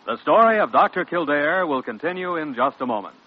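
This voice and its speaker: A man's voice: a little high, expressive, fairly quick. A man reading scripted announcements in the style of an old-time radio announcer. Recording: muffled old radio recording with music.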